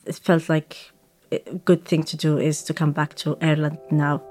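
A young woman filmmaker whose first language is Arabic, telling her own story in a reflective way, often pausing on "like" and restarting. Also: deep voice, flat delivery, darkish tone, soft background music. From Saudi Arabia. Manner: reflective, with frequent "like" fillers and restarts. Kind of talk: personal storytelling. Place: radio studio.

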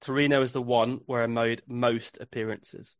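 Male podcast host running a quiz, measured clue reading, dry close sound. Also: mid-range voice, flat delivery, dry recording. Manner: reads clues slowly and repeats them.

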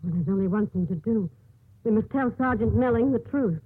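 An actress playing an earnest, determined nun in a 1950s radio drama, speaking with urgency and emphasis. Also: female voice, mid-range voice, a little fast, husky, no room sound. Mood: grave. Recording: muffled, noisy 1950s radio broadcast recording.

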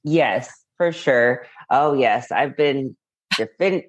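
A woman's voice: a bass voice, takes it slowly. A woman hosting an interview, mostly giving brief, supportive interjections. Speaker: podcast host. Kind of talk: interview backchanneling. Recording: dull lo-fi sound.

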